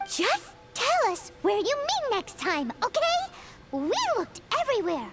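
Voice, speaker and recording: Excitable fairy sidekick, childlike squeak, inquisitive, animated pitch, video game. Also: female voice, measured pace, full character voice. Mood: impatient. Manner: eager, inquisitive. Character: tiny excitable childlike female fairy companion, third-person talker. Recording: game music behind the voice.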